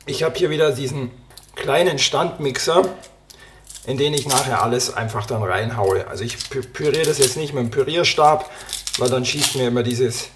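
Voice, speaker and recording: A man with a slightly hoarse voice from a cold, hosting a cooking podcast and chatting casually as he prepares a recipe in his home kitchen. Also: mid-range voice, normal speed, background noise. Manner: relaxed, talks while chopping and cooking. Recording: kitchen clatter and clicks behind. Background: dishes, pots and pans clattering.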